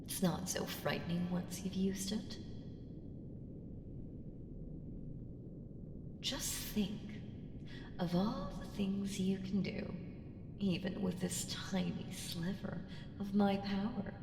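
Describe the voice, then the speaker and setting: Slow, echoing, creaky ancient evil woman, acted out. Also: mid-range voice. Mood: coolly encouraging. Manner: deliberate, teasing, condescending, dropping into breathy whispers. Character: ancient evil female entity, powerful, haughty, coolly menacing patron. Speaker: audio roleplay voice actor. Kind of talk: slow ASMR audio roleplay monologue. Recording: echoing long hall reverb, ambient hiss.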